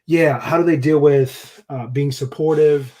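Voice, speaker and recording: A man hosting a podcast, asking a long question and carefully searching for the right words, with many pauses and "uh"s. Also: on the high side, fairly even intonation, crowd noise. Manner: hesitant, searches for words, fills with "uh". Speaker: podcast host. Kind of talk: interview question.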